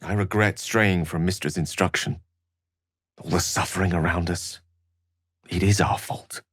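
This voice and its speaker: An actor voicing a learned, courteous wizard in a video game, with eloquent, courtly phrasing and wry asides. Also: male voice, low voice, fairly even intonation, a little slow, a bit bright, slightly rough, dry recording, studio quality, heavily acted. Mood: regretful, resolute. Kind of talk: video game character voice lines.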